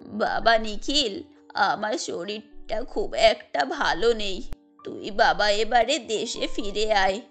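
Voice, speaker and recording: An ailing, lonely old mother from a cartoon village tale , acted by a woman. She speaks slowly and pleadingly, pitched well down from the narrator's voice. Music plays underneath. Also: expressive, a bit bright, heavily acted. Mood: weary, pleading. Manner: slow, pleading. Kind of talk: cartoon story dialogue.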